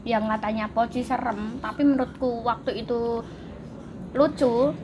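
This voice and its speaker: A relaxed woman talks in a casual chat, matter-of-fact and unhurried. Her pitch is a touch high. Clear background noise sits behind her. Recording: noisy background.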